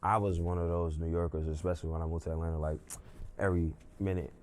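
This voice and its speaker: A young man from Brooklyn talks easily as a guest in a casual interview. His voice sits very low and relaxed, and he strings his thoughts together with loose fillers. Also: fast, a little hiss or hum. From Brooklyn, New York. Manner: laid-back, filler-heavy, often adds "you know what I'm saying". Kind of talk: casual conversation.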